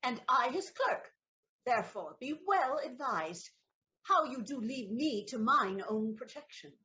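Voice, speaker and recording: High-voiced saucy waiting-woman played by a woman. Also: sing-song, a little slow, pushed and bright, muffled recording, full character voice. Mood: pert, threatening. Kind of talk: Shakespearean audio-play acting.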